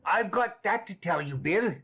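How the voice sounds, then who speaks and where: A woman's voice: mid-range voice, expressive, a little slow, a bit bright, slightly rough, full character voice. A woman reading a storybook voicing a scheming old man who is frantic and wheedling. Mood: agitated. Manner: agitated, wheedling, intense.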